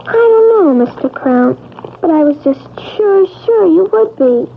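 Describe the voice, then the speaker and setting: High-voiced earnest little child. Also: female voice, animated, measured pace, creaky, exaggerated voice. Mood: disappointed, earnest. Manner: plaintive, earnest, insistent. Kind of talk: old-time radio drama acting. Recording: muffled, noisy 1970s radio recording.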